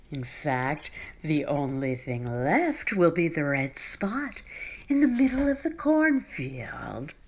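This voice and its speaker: Woman acting a slow, sinister vengeful spirit. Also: fairly low pitch, gravelly, fairly bright tone, slight background noise, full character voice. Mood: gloating menace. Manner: slow, menacing, taunting. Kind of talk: radio horror drama acting.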